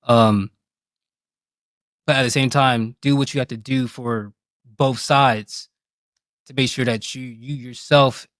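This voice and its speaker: A man's voice: mid-range voice, fairly even intonation, takes it slowly, long pauses, a bit bright, no echo. A man speaking as an interview guest about himself in a candid, reflective, colloquial way. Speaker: guest, game streamer. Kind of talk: casual interview conversation.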